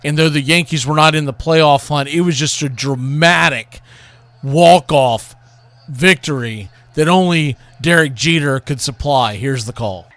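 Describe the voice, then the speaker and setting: A man's voice: a little high, a little slow, fairly bright tone, slight background noise. A man hosting a baseball show, reminiscing and commenting in a rambling, conversational way about classic calls. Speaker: baseball radio show host.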